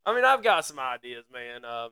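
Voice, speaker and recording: A man's voice: mid-range voice, big pitch swings, moderate pace, sharp tone, smooth, dry recording. A man co-hosting a sports podcast, sounding glum and defeated about his team but laughing it off in a casual chat. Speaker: podcast co-host.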